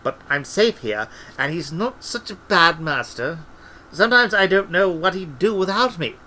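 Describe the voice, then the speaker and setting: A man voicing a defensive, ancient wizard servant in an audiobook, gruff and folksy, given to whining and ungrammatical asides. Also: sits high, expressive, moderate pace, bright, forceful tone, a little hiss or hum, voice pushed up, poor audio. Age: older. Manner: colloquial, ungrammatical, tacks on "see?" and "you know".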